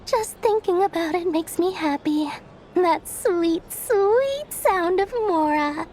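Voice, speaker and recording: Game casting: a shrewd, self-assured female money-lover, high-pitched and expressive, faint noise behind. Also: slow pace, exaggerated voice. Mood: gleeful, proud. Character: shrewd, money-loving businesswoman with a cheerful streak. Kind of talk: video game voice acting.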